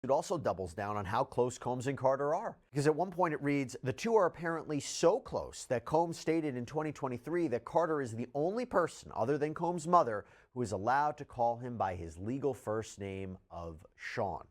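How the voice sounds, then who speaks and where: A man presenting news and reading out statements with measured, deliberate emphasis. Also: medium pitch, lively intonation. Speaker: news commentator.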